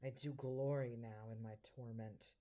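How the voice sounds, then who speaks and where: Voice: mid-range voice, fairly even intonation, measured pace, mellow, close to an everyday voice. An actor in an amateur audio drama playing a hapless minor devil in human shape, speaking plaintively in theatrical early modern English. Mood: glum lamenting.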